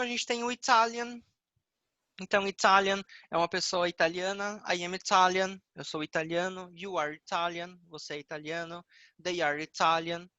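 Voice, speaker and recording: A male English teacher with a Brazilian Portuguese accent, explaining a lesson patiently and repeating words slowly. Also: sits high, sharp tone, no echo, dull audio.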